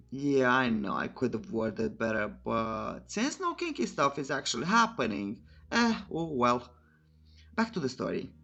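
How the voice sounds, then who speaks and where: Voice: mid-range voice, fairly bright tone. A narrator giving a scripted story recap in an informal, wry way, breaking off for joking asides. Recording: clear music bed under voice. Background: background music.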